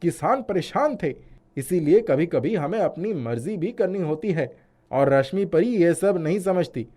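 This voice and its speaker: A performer acts out a proud, stubborn male cloud from a fairy-tale cartoon. The voice swoops widely in pitch and sounds pompous and sure that it is always right. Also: lively intonation, a little slow, mellow. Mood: smug, defiant. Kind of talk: animated storybook character acting.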